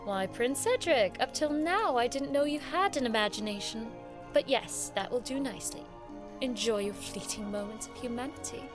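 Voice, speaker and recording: An actress plays a young highborn woman studying magic in an audio drama. Her voice is very high and her manner is proud, witty and formal. Music plays behind her. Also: lively intonation, normal speed, close to an everyday voice. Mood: teasing. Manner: wry, haughty, formal speech.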